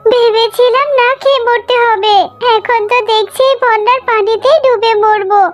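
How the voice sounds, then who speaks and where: A woman's voice: extremely high pitch, fairly even intonation, normal speed. A woman voicing a distraught, plaintive jackal mother in a melodramatic cartoon, fearful and pleading for her child. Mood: despairing.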